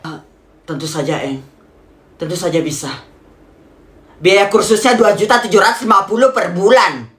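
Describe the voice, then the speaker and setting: A woman's voice: fairly low pitch, moderate pace, roomy sound, music in the background. A woman doing a playful comedy parody sketch with joking asides, indoors at home.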